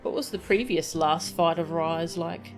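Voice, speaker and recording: Low-pitched woman streaming a game. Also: measured pace. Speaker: game streamer reading dialogue aloud. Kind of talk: casual livestream commentary. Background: game music.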